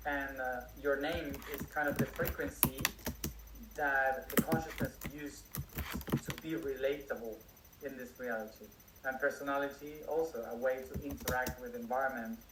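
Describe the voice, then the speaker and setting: Man speaking slowly from afar, echoey. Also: mid-range voice, fairly even intonation, heavy background noise. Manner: slow, searching speech with many restarts and fillers. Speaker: spiritual teacher answering questions. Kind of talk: live Q&A, unscripted. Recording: echoey room, distant mic, faint crickets.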